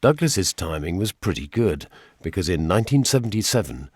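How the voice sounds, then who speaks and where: A man's voice: deep voice, very animated, brisk, slightly rough, dry recording. A man with a British accent presenting a scripted radio documentary in a wry, dryly humorous way. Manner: wry, dry humour.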